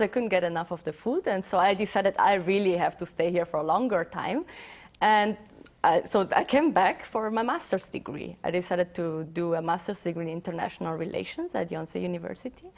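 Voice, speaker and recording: A woman's voice: lowish voice, gravelly. A woman in her thirties from Switzerland, answering interview questions in a chatty way with frequent fillers. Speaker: interview guest. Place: TV studio.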